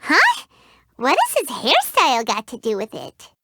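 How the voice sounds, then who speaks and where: This is a tiny, chirpy floating companion sprite for a video game. The voice sits very high and sparkles with brightness, leaping around with lively swings of pitch. She chatters bubbly and nosy, calling herself by her own name. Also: a little slow, heavily acted. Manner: bubbly, talks about herself in third person.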